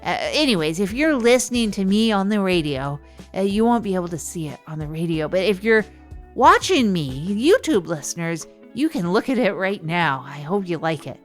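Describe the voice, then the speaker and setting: A woman's voice: lowish voice, very animated, moderate pace, soft background music. A woman playing an awkward, earnest small-town podcast host who rambles through comedic stories and digressions. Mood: chatty aside. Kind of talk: rambling comedic monologue storytelling.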